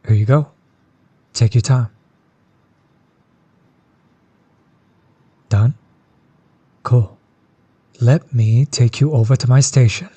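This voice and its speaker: Man acting as a mellow, unhurried, reassuring tattoo artist. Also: mid-range voice, slightly rough, dry recording. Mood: friendly, casual. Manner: soft-spoken, easygoing, reassuring. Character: chill, reassuring, friendly male tattoo artist. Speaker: voice actor in an audio roleplay. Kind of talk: intimate one-sided audio roleplay, casual chat.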